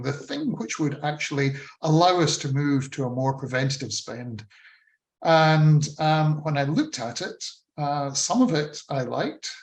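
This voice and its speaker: A man with a Scottish accent presenting slides on a video call, measured and with frequent ums and uhs. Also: fairly high pitch, echoey room. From Scotland. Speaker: presenter on a funding model. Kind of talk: talk with screen-shared slides.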